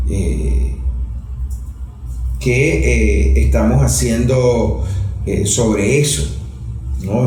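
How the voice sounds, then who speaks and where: A man's voice: fairly high pitch, warm tone. Lecturing man, echoey room. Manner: lecturing, academic, halting restarts. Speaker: guest, legislator and author. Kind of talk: political analysis in conversation.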